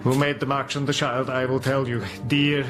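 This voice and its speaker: An actor playing a grave elderly foreign professor in a gothic audio drama, deliberate and halting, repeating words for weight. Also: male voice, mid-range voice, level tone, close to an everyday voice. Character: grave elderly foreign professor, vampire hunter, patient but relentless. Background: dramatic music.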